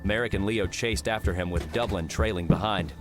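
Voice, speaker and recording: A man's voice: fairly low pitch, fairly quick, very noisy. A man reading scripted story narration for an audiobook.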